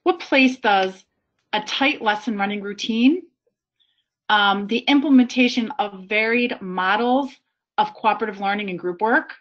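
A woman's voice: mid-range voice, a little slow. A woman moderating a teacher panel, asking polite, long winding questions. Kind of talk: casual panel discussion. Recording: dull lo-fi video call audio.

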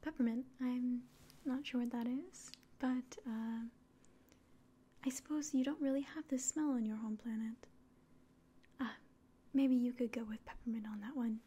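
Slow, whispering young woman, very soft. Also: on the high side, steady tone, slightly rough. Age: young adult. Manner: gentle, hesitant, unhurried. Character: polite, clinical alien examiner. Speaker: ASMR performer. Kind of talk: ASMR roleplay of a medical exam. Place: small room indoors. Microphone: close to the mic.

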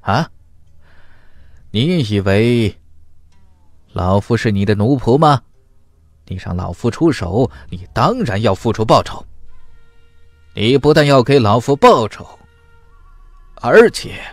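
A man's voice: medium pitch, no room sound. A man voicing a grudging, bullying old cultivator in an audiobook, indignant, demanding and blustering. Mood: self-righteous. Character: grudging, bullying old male water-sect cultivator monster. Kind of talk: audiobook character acting.

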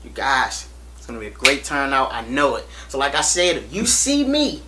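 A young American man vlogging to camera in a small room, hyped and animated, repeating himself as he urges viewers to come. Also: medium pitch, normal speed, background noise. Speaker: reptile channel vlogger. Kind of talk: casual to-camera promo vlog. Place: small indoor room against a plain wall.

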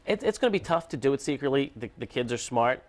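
A young man explaining tech in a TV studio, talking loosely with frequent fillers. His pitch sits in the middle. A lapel mic captures him close and clean. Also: animated, fast. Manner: informal, filler-heavy explaining with restarts. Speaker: computer expert guest. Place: TV news studio.

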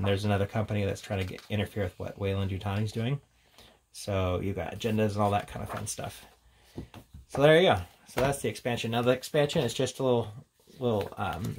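A man with a fairly low, gravelly voice talks casually through an unboxing review. His delivery is informal and unscripted, as if chatting to viewers. There is faint noise and some clicking behind him. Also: fairly even intonation, fairly quick. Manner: informal, off-the-cuff. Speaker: reviewer, presenter.

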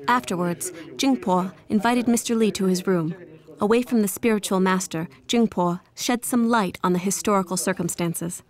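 A woman reading scripted documentary narration in a calm, measured, even way. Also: medium pitch.

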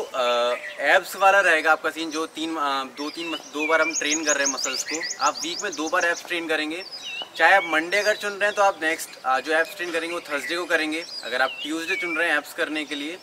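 A man's voice: a little high, background noise. A young man in his twenties giving casual workout advice on an outdoor rooftop, chatty and peppered with fillers. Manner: chatty, mixes English into Hindi, frequent "uh" fillers. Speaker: fitness YouTuber. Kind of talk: casual instructional vlog. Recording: outdoor recording with clear birdsong. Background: birdsong and outdoor ambience.

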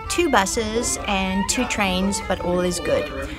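A woman's voice: mid-range voice, animated, takes it slowly, loud music behind the voice, low-quality recording. A middle-aged woman hosting her own vlog, talking chattily into a phone held at arm's length, with wry asides. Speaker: vlog host and author. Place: home room with bookshelves, then a large community hall.

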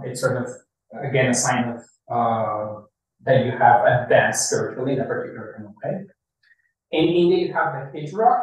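A middle-aged man from Ecuador giving a public lecture, explaining step by step and often checking in with "right?". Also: mid-range voice. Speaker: professor giving a public talk. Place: library meeting room. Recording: echoey room from far off.

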